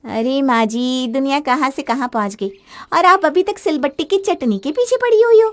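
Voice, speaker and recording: Woman as young daughter-in-law, high-pitched, impatient, chatty, opinionated, complaining, family moral tale. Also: moderate pace, close to an everyday voice. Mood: dismissive. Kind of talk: acted Hindi family moral story.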